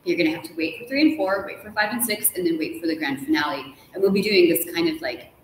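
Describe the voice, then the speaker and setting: A middle-aged woman, an author guest, chatting casually and explaining things with an animated storytelling manner. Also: on the low side, quick pace. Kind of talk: casual live conversation. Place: hotel lounge with armchairs. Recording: echoey room, distant mic, faint hiss.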